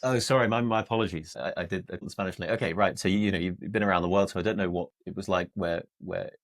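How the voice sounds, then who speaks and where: Low-voiced British man interviewing casually. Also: quick pace. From United Kingdom. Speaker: podcast host, interviewer.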